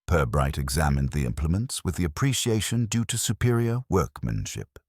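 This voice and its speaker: A man reading a scripted mystery story as narrator, in a measured, formal, literary manner. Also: a bass voice, expressive, breathy edge, no echo, clean studio sound.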